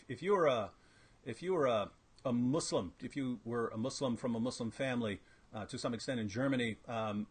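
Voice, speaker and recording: A man hosting a casual, jokey interview, asking questions in a halting way with restarts. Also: mid-range voice, breathy edge. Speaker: interviewer, channel host.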